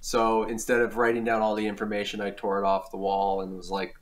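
Male guest in his 40s, lowish voice, relaxed storyteller with soft asides. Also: brisk. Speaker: film director, guest. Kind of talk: casual interview chat.

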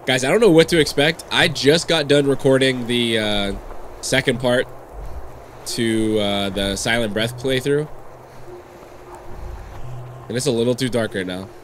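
A man's voice: steady tone, unhurried, long pauses, a bit bright, a little hiss or hum. A man in his thirties with a nasal voice, getting over a cold, giving jumpy, swearing commentary while playing a horror game on a headset mic. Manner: jumpy, swearing, yelps in high bursts when startled. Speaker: gaming streamer. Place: indoors at a gaming desk. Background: game music.

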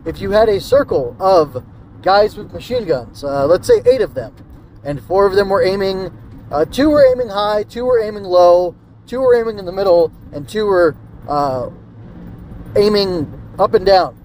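Man, high-pitched voice, podcast host, casual review, hesitant thinking aloud, clear background noise. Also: big pitch swings, normal speed, a little mellow. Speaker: podcast host reviewing an old radio drama. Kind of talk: casual solo review commentary.

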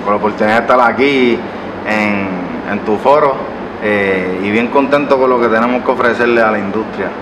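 Puerto Rican man, halting and roomy. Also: lowish voice. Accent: Puerto Rican Spanish. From Puerto Rico. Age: 30s. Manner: rambling, many hesitations and restarts. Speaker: barber and business owner, guest. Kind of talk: relaxed storytelling interview answers. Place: living-room style studio set. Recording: roomy sound from a distant mic with background noise.

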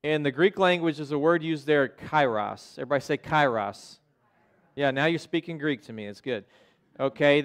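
American guy pastor giving a casual sermon, smooth clear voice, bit on the higher side. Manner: informal, checks in with listeners using "okay?" and "right?". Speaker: pastor preaching. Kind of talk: conversational sermon. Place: church sanctuary in front of a congregation.

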